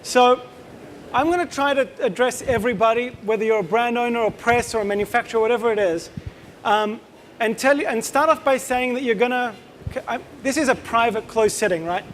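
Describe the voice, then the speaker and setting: A man's voice: extremely high pitch, a little fast, a little hiss or hum. A man in his thirties with an Australian accent, giving a casual, joking conference talk as a company co-founder and quizzing the room. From Israel. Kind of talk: conference presentation to a live audience.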